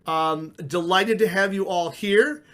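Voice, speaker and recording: High-voiced man asking interview questions. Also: takes it slowly, a bit bright. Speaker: interviewer, competition director.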